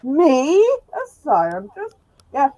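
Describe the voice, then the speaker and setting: A woman's voice: high voice, wildly swooping pitch, takes it slowly, a crowd in the background. A woman hosting a sci-fi live stream, chatting casually and laughing often, in a room with a glass roof. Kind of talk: casual chat. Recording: dull lo-fi dry sound.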